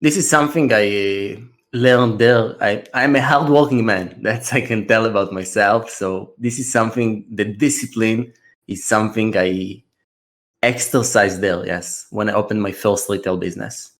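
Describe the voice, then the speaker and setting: A man's voice: lowish voice, moderate pace. Russian-accented man in his thirties. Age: 30s. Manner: earnest, steady storytelling. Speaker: trader and mentor, podcast guest. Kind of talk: casual podcast interview.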